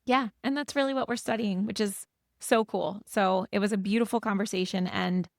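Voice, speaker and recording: A woman co-hosting a podcast, speaking in a measured, agreeable way. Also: mid-range voice, steady tone, gravelly, dry recording, clean studio sound. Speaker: podcast co-host. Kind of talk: casual podcast chat.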